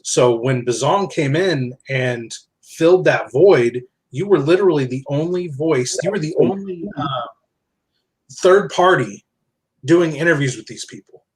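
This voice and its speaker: A man's voice: on the high side, animated, unhurried, poor audio. A man speaking as a podcast guest in casual, reflective conversation, telling things in a discursive, self-correcting way. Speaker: podcast guest, author and publisher.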